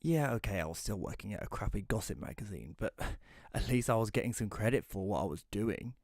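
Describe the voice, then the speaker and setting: A man playing a hesitant magazine writer who tells his own story in an audio drama, in a conversational, slightly halting way. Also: fairly low pitch, expressive, a little mellow, no echo, clean studio sound. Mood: reflective. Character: Rory, male magazine writer, hesitant, self-doubting narrator. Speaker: narrator and lead character. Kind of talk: first-person audio drama narration with dialogue.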